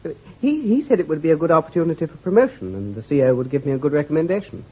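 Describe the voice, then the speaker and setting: A stammering young lieutenant. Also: male voice, fairly high pitch, sing-song, very fast, slightly rough. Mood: eager, deferential. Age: young adult. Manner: stammering, deferential, says sir constantly. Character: eager, nervous young male army lieutenant. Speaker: army lieutenant. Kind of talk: radio drama acting. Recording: lo-fi, muffled old recording.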